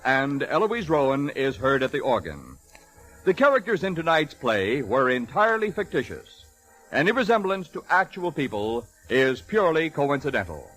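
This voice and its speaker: Animated 1940s male radio announcer over music. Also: fairly high pitch. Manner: formal, polished. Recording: vintage 1940s radio recording.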